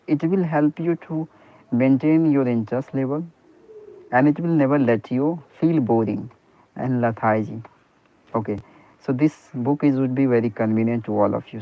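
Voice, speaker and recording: Man on a tinny line. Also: medium pitch. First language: Hindi. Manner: earnest, repeats "you know" and "okay" often, restarts sentences. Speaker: private teacher. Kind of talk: English speaking practice conversation.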